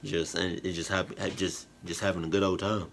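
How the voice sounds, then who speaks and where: A man's voice: sits low, flat delivery, brisk, fairly bright tone, a little hiss or hum. A tired man chatting casually to his viewers in a reaction video, rambling with slang, fillers and restarts. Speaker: YouTube reactor. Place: at home, indoors.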